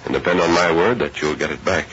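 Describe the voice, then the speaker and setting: An actor playing plain-spoken men of the West, a drawling ranch hand and a masked hero, in old radio drama style. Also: male voice, sits low, fast, natural voice. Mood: calm, commanding. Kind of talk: old-time radio western acting. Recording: muffled, noisy old radio recording.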